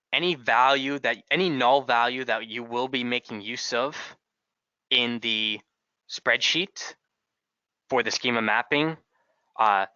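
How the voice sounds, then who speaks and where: A man giving a software tutorial as a trainer, talking in a halting way with repeated words, in a slightly dull recording. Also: mid-range voice, clean tone, dry recording.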